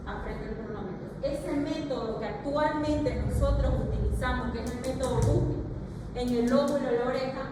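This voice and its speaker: A woman's voice: sits high, background noise. A woman lecturing a class, explaining and demonstrating each step, and firmly correcting her students. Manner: firm, corrective. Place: large classroom. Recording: echoey classroom recorded from a distance.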